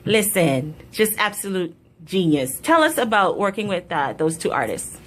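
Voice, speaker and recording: A woman's voice: mid-range voice, very animated, normal speed. Female radio DJ, casual and encouraging interviewer. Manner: friendly, encouraging, slang-flavored questions. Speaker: radio host. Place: radio studio.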